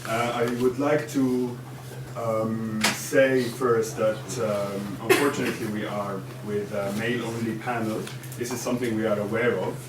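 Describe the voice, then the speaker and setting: A man from Syria chairing a conference panel, speaking haltingly with many fillers and restarts. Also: on the low side, little pitch movement. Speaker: panel moderator. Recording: echoey room from far off, background noise.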